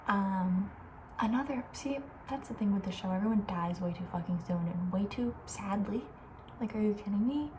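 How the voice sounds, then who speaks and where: Woman, soft-spoken, breathy near-whisper, mid pitch, casual ASMR host, chatty and opinionated, faint background noise. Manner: chatty, informal, opinionated, trailing off.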